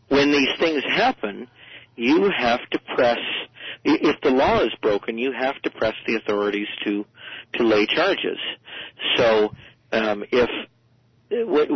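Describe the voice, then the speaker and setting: A man speaking informally as a guest commentator in a radio interview, halting and often rewording himself. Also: mid-range voice, slightly rough, no echo, highs cut off.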